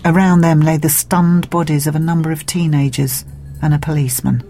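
A low, brooding voice of a woman playing a fallen galactic dictator stuck in a human body. The delivery is melodramatic and self-pitying, like a first-person storybook confession, with music underneath. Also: fairly even intonation, a little fast, dark tone. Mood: musing, grudging. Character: bitter, self-pitying deposed male alien tyrant in human body.

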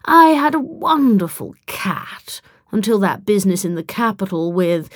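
Smug, dapper alien gentleman, performed by a woman, affected old-chap banter, condescending and jaunty, storybook villain-type, dry sound. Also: medium pitch, sing-song, measured pace, dry recording.

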